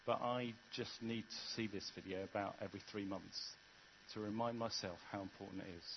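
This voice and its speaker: A man's voice: lowish voice, steady tone, brisk, creaky, slight background noise. Earnest man preaching, dull recording. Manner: earnest, conversational preaching with restarts. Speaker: vicar. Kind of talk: sermon.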